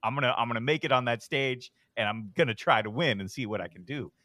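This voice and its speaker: Podcast guest, deep-voiced man, middle-aged, relaxed and self-deprecating, dry close mic. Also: quick pace, no room sound. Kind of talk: casual storytelling.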